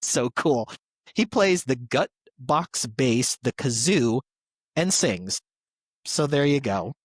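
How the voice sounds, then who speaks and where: A man's voice: mid-range voice, expressive, takes it slowly, breathy edge, no room sound. A man hosting a podcast, reading prepared tributes in an announcer-like way with an enthusiastic fan's touch. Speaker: podcast host. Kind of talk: reading birthday tributes to actors, semi-scripted podcast segment.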